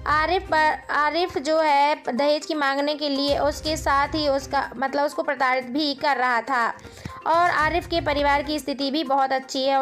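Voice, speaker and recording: High-voiced woman presenting informal online news. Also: fairly bright tone. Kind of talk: informal news narration addressed to viewers.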